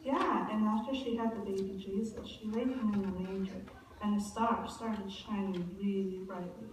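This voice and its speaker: A woman's voice: mid-range voice, fairly even intonation, moderate pace, close to an everyday voice. A woman playing an innocent, earnest little girl in a church puppet show. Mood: earnest, eager. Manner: earnest, explanatory storytelling. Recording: very echoey hall, far mic, noisy.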